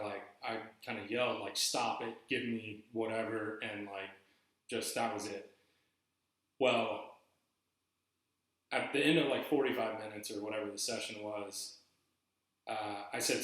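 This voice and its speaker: Male podcast host, mid-pitched, rambling storyteller, heavy room echo. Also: a bit bright. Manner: rambling, many restarts and fillers. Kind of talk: casual storytelling monologue. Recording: very echoey room.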